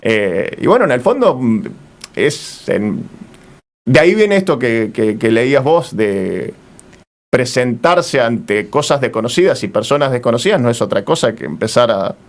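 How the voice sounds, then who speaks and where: A man's voice: medium pitch, very animated, moderate pace. A man with an Argentine accent speaking as an interview guest, reflective and searching for his words as he goes. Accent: Argentine Spanish. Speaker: interview guest, returned traveller.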